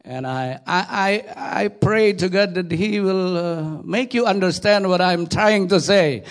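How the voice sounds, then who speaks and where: A middle-aged man from Indonesia with an Indonesian accent, speaking English as a guest pastor in church, pausing often with fillers and repeated words. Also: sits high. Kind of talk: sermon introduction.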